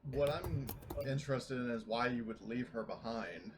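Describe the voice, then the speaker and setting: Guy player asking stuff in an rpg session, normal pitch, noisy mic. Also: normal speed. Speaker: tabletop game player. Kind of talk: casual in-game questioning. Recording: noisy microphone with clear background ambience.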